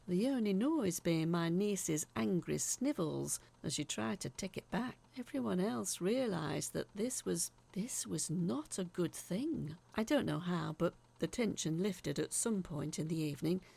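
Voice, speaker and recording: A woman telling a spooky first-person story in a dramatic, uneasy, confiding way, sometimes dropping to a hush. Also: on the low side, vocal fry, husky. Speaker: narrator of a horror audio story.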